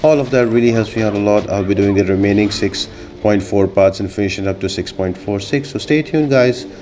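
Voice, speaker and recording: A man's voice: on the low side, brisk, a little mellow, a little hiss or hum. A man giving a casual, step-by-step video game guide as the host of a gaming channel. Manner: instructional, step-by-step explaining.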